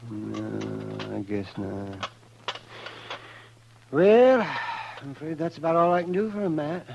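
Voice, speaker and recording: Old frontier doctor, gravelly, wry and folksy, on a dull vintage radio recording. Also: male voice, medium pitch, fairly even intonation, slow, music in the background. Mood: grave, matter-of-fact. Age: older. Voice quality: creaky, gravelly. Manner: hemming, folksy. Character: crusty old country doctor, wry and frank. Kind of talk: 1950s radio western acting.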